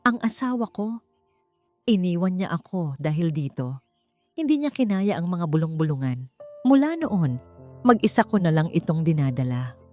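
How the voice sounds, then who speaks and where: Narrator lady doing a sad brave filipino woman guardian in an audiobook, lowish and earnest, music under. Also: very animated, normal speed, pauses often, mellow. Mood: sorrowful. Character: burdened, brave lonely woman guardian confiding her secret.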